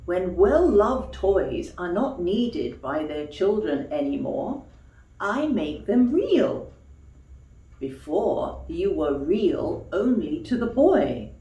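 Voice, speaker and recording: A woman voicing a kind, gentle magical fairy in a children's storybook. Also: fairly low pitch, big pitch swings, slow pace, echoey room, far from the mic, lo-fi sound. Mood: gentle, reassuring.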